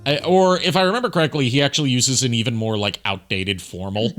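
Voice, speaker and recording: A man's voice: mid-range voice, quick pace, a bit bright, faint music behind. A man giving casual commentary over a video as a streamer, explaining things in a rambling way. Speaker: streamer, amateur voice actor.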